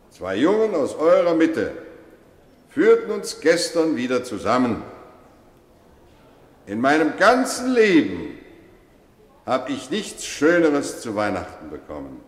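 Ein Schauspieler spielt einen feierlichen, bewegten Lehrer mittleren Alters, der in einem Hörspiel gemessen zu seinen Schülern spricht. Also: male voice, mid-range voice. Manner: deliberate, measured oratory. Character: male schoolteacher, solemn, deeply moved, addressing pupils. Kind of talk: radio play speech to an assembly. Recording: echoey hall with long reverb.

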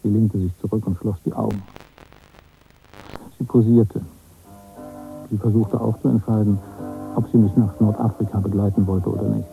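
An actor playing a detached, observant photographer who tells his story in a radio play, measured and matter-of-fact. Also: fairly low pitch, little pitch movement, gravelly, narrow, tinny audio, soft background music. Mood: detached, reflective. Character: detached, observant male art photographer recounting his encounters. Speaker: narrator and main character of a radio play. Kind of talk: literary first-person radio drama narration.

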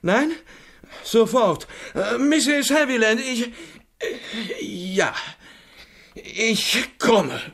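A man playing a put-upon secretary in a radio play, husky, hesitant and guarded, with bitterness under his politeness. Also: very high voice, animated, extremely slow, fairly bright tone, exaggerated voice. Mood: flustered. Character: resentful, put-upon male secretary to a novelist.

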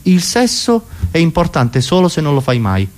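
A man's voice: fairly high pitch, very animated, normal speed. A man performing a comic cabaret monologue in a rambling, self-interrupting, neurotic manner. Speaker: comedian.

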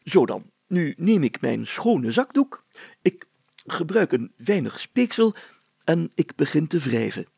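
A man's voice: a little high, big pitch swings, slow pace, vocal fry, a little mellow, slightly rough, no room sound, full character voice. A man voicing a pompous, fussy village mayor in an audiobook, speaking formally and stumbling into self-corrections. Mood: excited, self-important.

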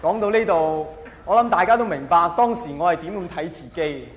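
A man with a Hong Kong Cantonese accent performing a mock-earnest stand-up monologue with dramatic pauses and emphatic delivery. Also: high-pitched, animated. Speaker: stand-up comedian. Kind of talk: stand-up comedy monologue to a live audience. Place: large indoor venue with live audience. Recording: echoey hall with audience noise. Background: audience laughter and crowd noise.

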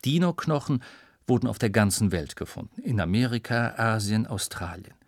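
A man's voice: lowish voice, lively intonation, normal speed, no echo. A man reading scripted narration of a science audiobook for children.

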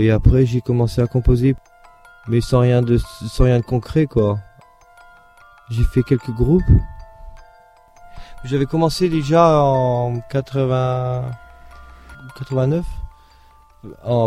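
Man with a Belgian French accent, rambling and repetitive, warm darker tone, faint music behind. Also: mid-range voice. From France. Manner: rambling, repeats himself. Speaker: composer of a duo. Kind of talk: casual interview answers.

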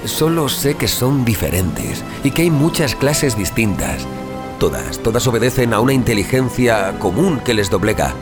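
An actor from an audio drama playing an earnest, haunted survivor and reformed troublemaker, confiding in colloquial Spain Spanish. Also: male voice, mid-range voice, lively intonation, normal speed, close to an everyday voice. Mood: grave, resolute. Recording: dramatic music and storm sounds behind.

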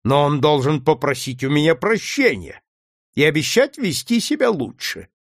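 Guy doing a nasty plantation boss, yelling and sneering, higher than his own gravelly voice. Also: very animated, normal speed, no echo, clean studio sound. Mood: contemptuous. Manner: harsh, shouting, mocking. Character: brutal cruel male plantation master.

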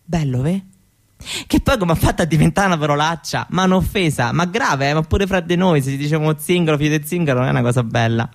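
A woman playing a candid, proud seventeen-year-old Roma girl in a radio monologue, with a Roman Italian accent and halting colloquial talk that often seeks agreement. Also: sits low. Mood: wistful, defiant. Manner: colloquial, halting, seeking agreement with little tag questions. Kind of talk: conversational theatrical monologue for radio.

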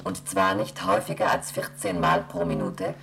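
A woman voicing a station computer that reads out emergency rules in a flat, mechanical monotone. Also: a bass voice, moderate pace, gravelly, slight background noise, heavily acted. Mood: dictating. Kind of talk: 1970s radio play acting.

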